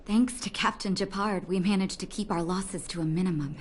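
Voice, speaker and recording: A woman voicing a caring, composed clinic doctor with a gentle, polite manner in a video game. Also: mid-range voice, steady tone, a little fast, slight background noise, lightly acted. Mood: grateful. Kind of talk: voiced game story dialogue.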